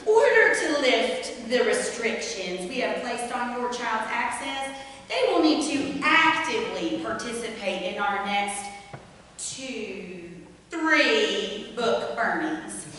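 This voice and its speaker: A woman's voice: on the high side, expressive, takes it slowly, a bit bright. A woman playing a gleefully cruel, officious head librarian in a theatrical satirical reading, prim and official with muttered malicious asides. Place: live staged reading in a reverberant room. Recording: echoey hall from far off, faint noise.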